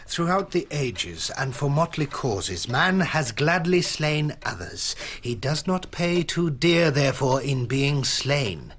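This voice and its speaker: An actor playing a severe, zealous intellectual, dogmatic and declamatory, in a radio drama. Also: male voice, fairly high pitch, big pitch swings, takes it slowly, hardly any pauses, fairly bright tone, breathy edge, full character voice. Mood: grim relish. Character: severe, zealous male intellectual, coldly morbid.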